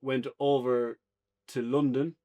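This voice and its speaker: An Irish man chatting casually as a podcast co-host, unscripted, halting at times as he searches for words. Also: mid-range voice, little pitch movement, dark tone. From Ireland. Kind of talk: casual unscripted review chat.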